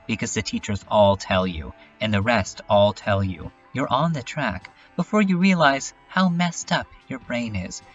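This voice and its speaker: A man playing a brooding, haunted adult narrator in an introspective dramatic monologue, reflective and halting. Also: lowish voice, a bit bright. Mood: wistful. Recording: dull lo-fi recording, distant mic. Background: music.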